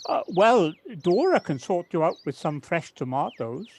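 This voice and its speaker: A woman's voice: on the low side, sing-song, moderate pace, a little mellow. A woman acting a kindly but evasive, hesitant village woman in a home-recorded audio play. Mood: flustered, evasive. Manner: hesitant, faltering. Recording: home recording on personal device, faint noise.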